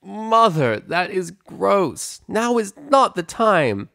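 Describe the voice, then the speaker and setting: A man voicing an excitable young female pony, a scandalized daughter who exclaims dramatically, in a storybook reading. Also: sits high, takes it slowly, creaky, no room sound, studio quality, pitched above the performer's own voice, full character voice. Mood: disgusted.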